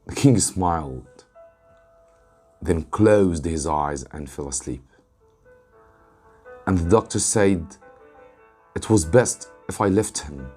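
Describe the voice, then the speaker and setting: Slow, mellow man narrating over music. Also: low voice, slightly rough. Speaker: English teacher reading a story aloud. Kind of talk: dramatic audiobook-style narration of a novel.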